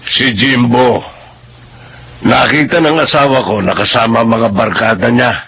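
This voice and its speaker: An actor with a Filipino accent playing a concerned, middle-aged uncle in a radio drama, measured and serious. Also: male voice, medium pitch, steady tone, fairly bright tone, a little hiss or hum. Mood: probing, serious. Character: concerned, probing older brother and uncle.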